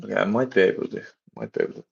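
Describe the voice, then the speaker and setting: Finnish guy streaming, deep gravelly voice, keeps restarting his sentences. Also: sing-song, dull audio. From Finland. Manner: halting, many restarts and fillers. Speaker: livestreaming startup developer. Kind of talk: casual live stream chat.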